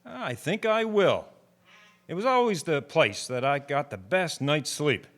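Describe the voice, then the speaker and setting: Man acting folksy retired fire chief, very lively. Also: fairly high pitch, measured pace. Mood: genial, concerned. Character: retired deputy fire chief, older man, genial, restless. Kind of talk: radio drama acting.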